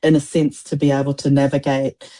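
Low-voiced New poet woman. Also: little pitch movement, warm tone. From New Zealand. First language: Samoan. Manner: thoughtful, with frequent restarts and pauses. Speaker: poet and prize-winning author. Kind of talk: reflective interview answers.

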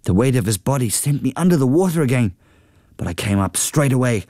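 A man reading a first-person story aloud in an earnest, steady voice. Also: mid-range voice, brisk, no room sound, studio quality. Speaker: audiobook reader.

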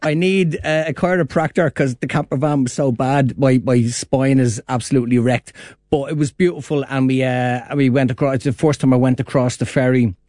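A man's voice: mid-range voice, steady tone, brisk, a little mellow, no room sound. An Irish man tells a rambling, joking story on a radio panel, breaking into laughs. His voice sits in the middle range and sounds close and dry, with no room around it. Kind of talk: casual radio banter.

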